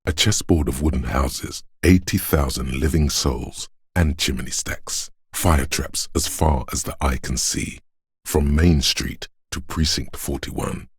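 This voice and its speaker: Very deep, gravelly, brooding mystical narrator. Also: male voice, no echo, studio quality, heavily acted. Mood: solemn, poetic. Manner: hushed, measured, poetic, solemn delivery. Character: brooding, mystical inner voice sensing the city. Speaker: video game voice actor. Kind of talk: slow atmospheric scripted game narration.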